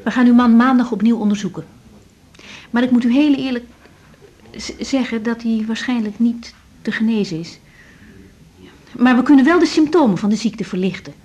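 A young female doctor played by an actress in a radio drama. Her voice is fairly high and mellow, with a slightly husky edge. She speaks tactfully and patiently, explaining with careful reassurance. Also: moderate pace, long pauses, natural voice. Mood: gently reassuring. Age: young adult. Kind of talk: 1980s naturalistic Dutch radio drama acting.

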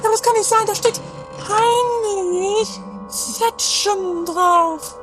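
A woman's voice: extremely high pitch, drawn-out words, full character voice. A woman playing a whiny, dawdling sidekick in an amateur trashy comedy radio play. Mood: dismayed. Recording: 1980s amateur radio play with music behind.

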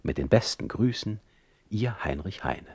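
A man's voice: on the low side, lively intonation, a little slow, creaky, husky, dry recording, heavily acted. An actor playing an older, sickly, jealous and eloquent male poet in a radio play, reading out his formal letters with ornate, theatrical emphasis. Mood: formal, wounded.

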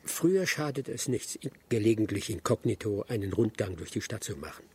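An actor playing a dutiful, cautious aide in a radio drama, with a breathy, husky voice and careful, halting delivery. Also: male voice, on the low side, lively intonation, a little mellow. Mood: concerned.

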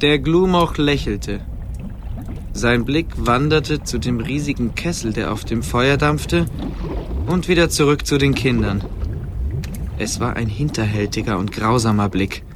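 An actor narrating a fantasy radio play as a grave, calm storyteller with measured suspense. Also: male voice, mid-range voice, noisy background, lightly acted. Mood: measured, ominous.

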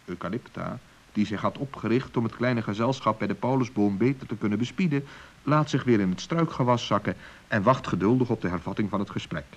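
A man's voice: on the low side, brisk. A man narrating a children's radio play as a storyteller, reading from a script. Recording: dull old radio recording.

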